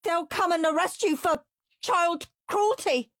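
A woman voicing a bratty, defiant young boy who whines and shouts in a children's audiobook. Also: very high voice, unhurried, no echo, studio quality, pitched above the performer's own voice. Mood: shrill indignation. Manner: whiny, shouty, indignant.

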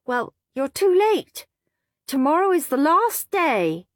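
A woman's voice: sits high, big pitch swings, slow pace, dry recording, clean studio sound, voice pushed up, full character voice. A woman voicing a whiny, smug, goody-goody little boy in a storybook reading. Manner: prissy and self-satisfied.

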